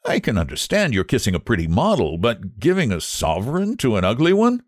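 A man voicing a jovial gentleman who teases his friend in a hearty, amused way in a storybook reading. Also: mid-range voice, wildly swooping pitch, a little fast, no echo, studio quality. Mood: teasing. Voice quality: hearty, teasing. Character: jovial painter friend, teasing young man.

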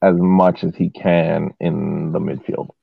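A man talking casually as a podcast panelist analysing soccer, explaining at length in a halting way with repeated words. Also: deep voice, flat delivery, vocal fry, husky, no echo. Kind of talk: casual match analysis discussion. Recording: thin phone-like line.

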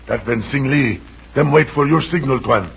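Loyal Malayan guide, gravelly, clipped pidgin English, old-time radio adventure serial, noisy vintage recording. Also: male voice, medium pitch, normal speed, a bit bright. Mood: watchful, deferential. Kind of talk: 1940s radio acting.

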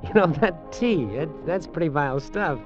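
An actor playing a bitter, nervous hard drinker in an old-time radio drama, with a hesitant, halting delivery. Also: male voice, a little high, lively intonation, close to an everyday voice. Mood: awkward, hesitant. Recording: muffled old radio recording, faint music.

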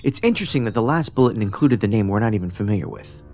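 An actor playing a pedantic, socially awkward federal investigator in a science fiction audio drama, speaking precisely and formally with a detached, musing manner. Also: male voice, on the low side, animated, rapid-fire, a little mellow. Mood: analytical, intent. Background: music under the voice.

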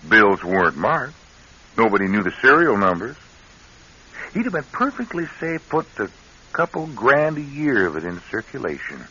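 Sly folksy schemer, wildly swooping. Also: male voice, on the low side, sing-song, moderate pace, bright, forceful tone, husky, exaggerated voice. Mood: sly, insistent. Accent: American. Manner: drawling folksy bad grammar, teasing. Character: sly, folksy, needling male schemer angling for stolen money. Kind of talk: classic radio drama acting. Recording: hissy, muffled old radio recording.

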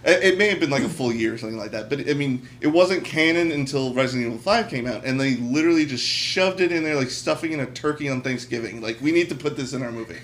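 A man with a medium-pitched voice co-hosts a casual podcast. He talks in a blunt, emphatic way, joking and swearing as he gives opinions. A faint noise sits behind his voice. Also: quick pace, few pauses, fairly bright tone. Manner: blunt, emphatic, profane, jokey. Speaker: podcast co-host.

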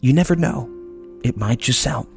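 A man's voice: mid-range voice, expressive, normal speed, vocal fry, faint music behind. A man voicing a fussy, tubby hippo who hates dirt, in a whiny storybook voice. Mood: cheerful.

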